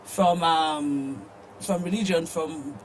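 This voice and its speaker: A woman with a Nigerian accent co-hosting a conversational news discussion in halting, hesitant phrases. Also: deep voice, poor audio. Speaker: talk show co-host discussing the news. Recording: faint background noise.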